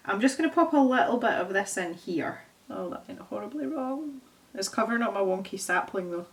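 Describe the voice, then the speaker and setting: A woman's voice: medium pitch, animated, normal speed. A young Scottish woman chatting casually as she paints, thinking aloud and poking fun at herself. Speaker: art channel host. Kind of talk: casual chat while painting.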